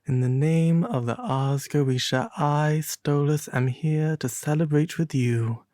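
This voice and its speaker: A pompous, refined demon prince acted out by a man speaks at a very unhurried, drawn-out pace. The tone is mellow and soft, turning breathy at times, in a close, dry ASMR storybook roleplay. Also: mid-range voice, no room sound. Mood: grand, gracious.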